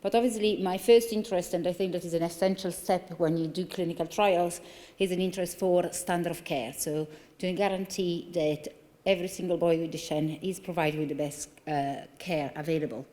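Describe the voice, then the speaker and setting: Italian woman doctor at a lectern, mellow lowish voice, hall reverb, earnest explainer. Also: fairly even intonation, fairly quick. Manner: earnest, explanatory, with frequent fillers and restarts. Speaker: consultant neurologist. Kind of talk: conference lecture. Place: large conference hall. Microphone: lectern microphone. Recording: long reverb of a large hall.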